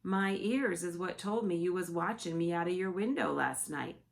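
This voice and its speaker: A woman voicing a gentle, big-eared male giant who talks earnestly in mixed-up, ungrammatical words. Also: lowish voice, moderate pace, vocal fry.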